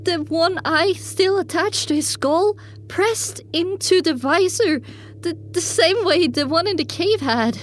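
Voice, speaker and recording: A woman's voice: extremely high pitch, unhurried, a little hiss or hum. A woman giving dramatic first-person audiobook narration as the story's narrator.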